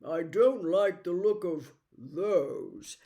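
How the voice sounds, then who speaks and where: A woman's voice: on the low side, lively intonation, very slow, dark tone, exaggerated voice. A woman voicing a gloomy, pessimistic male marsh creature in an audiobook, dour and plodding in manner. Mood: wary, dour. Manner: dour, plodding, predicts the worst. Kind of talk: audiobook character voice.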